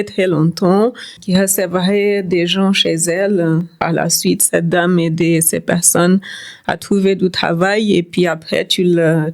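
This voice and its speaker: Middle aged cape verdean woman, creole native, lowish voice, telling her life story on a podcast, repeats words for emphasis. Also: a little mellow. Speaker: podcast guest. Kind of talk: personal testimony in conversation.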